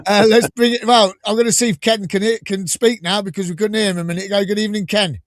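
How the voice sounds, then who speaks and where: A man hosting a live fan podcast, enthusiastic and rambling, a little croaky from a cold. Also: high voice, steady tone, fast talker, no echo, studio quality. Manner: enthusiastic, rambling, laughs often. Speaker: podcast host.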